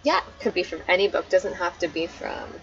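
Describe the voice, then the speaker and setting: A woman's voice: on the low side, expressive, brisk, vocal fry, dull audio. A woman with an Australian accent hosting a book club live stream in a friendly, welcoming way. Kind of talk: casual live-stream chat.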